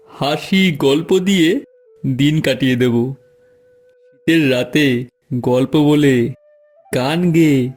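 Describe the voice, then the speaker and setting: A man playing an encouraging village schoolmaster and father in an animated moral story. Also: fairly high pitch.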